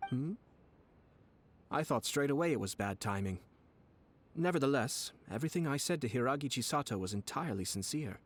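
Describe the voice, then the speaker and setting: A man voicing a young noble commissioner who speaks in a measured, courteous, formal way. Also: mid-range voice, animated, natural voice. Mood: calm. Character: young male noble commissioner, calm and cunning strategist. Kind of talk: video game voice acting.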